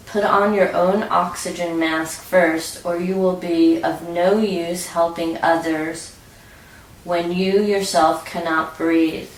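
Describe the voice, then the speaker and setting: A woman reads a guided meditation at a very slow, deliberate pace. Her voice sits on the low side. She sounds set back from the microphone in a roomy, echoing space. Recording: roomy echo, distant from mic.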